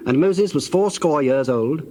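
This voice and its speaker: A man narrating dramatized scripture in a measured, formal way. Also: on the high side, lively intonation, darkish tone, slight background noise.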